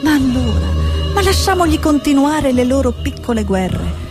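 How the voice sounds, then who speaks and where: An actress playing a determined, curious Italian TV reporter in a radio drama, probing and persistent. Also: female voice, high voice, lively intonation, normal speed, over music. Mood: sardonic, indignant.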